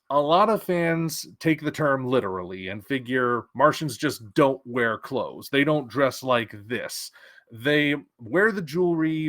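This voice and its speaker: A man's voice: mid-range voice, fairly bright tone, no room sound, clean studio sound. A man giving casual opinion commentary on science fiction news, musing aloud with small restarts and fillers.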